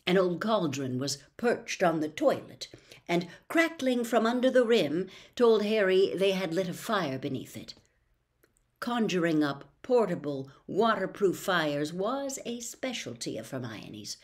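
An older woman in her sixties reading a novel aloud in an expressive, theatrical storytelling style. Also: on the low side, unhurried. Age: older, 60s. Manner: theatrical, acts out dialogue. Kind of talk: expressive audiobook-style narration. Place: small bedroom at home.

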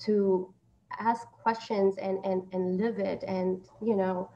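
A woman's voice: medium pitch, flat delivery, slow, warm tone, lo-fi sound. A woman in her thirties from Austria, born in Taiwan, giving conversational interview answers as an actress. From born in Taiwan, grew up in Austria. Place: small plain room indoors. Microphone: computer mic on a video call. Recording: video call with background noise.

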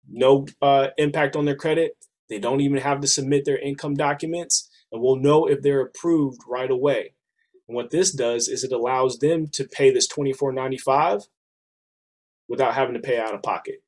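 A man in his thirties, slightly hoarse, walking his listeners through a funding program on a video call in a casual, persuasive way. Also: mid-range voice, a little mellow. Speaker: business funding presenter. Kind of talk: screen-share sales walkthrough. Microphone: webcam on a video call.

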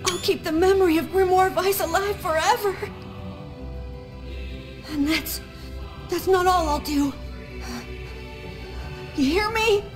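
A woman voicing a gentle, devoted young boy in a video game, earnest, emotional and halting. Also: extremely high pitch. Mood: desperate, pleading. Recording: loud music under the voice.

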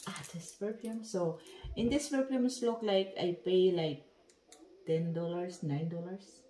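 A woman's voice: fairly low pitch, a little slow, warm tone. A woman whose first language is Tagalog, chatting casually in broken English while unboxing perfume at home. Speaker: vlogger. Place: dining room at home. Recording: echoey room.

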